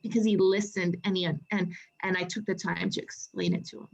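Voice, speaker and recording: A woman's voice: lowish voice, fairly even intonation, moderate pace, creaky, slightly rough. Earnest woman telling a story, lo-fi sound. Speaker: co-author guest. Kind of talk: casual video call chat.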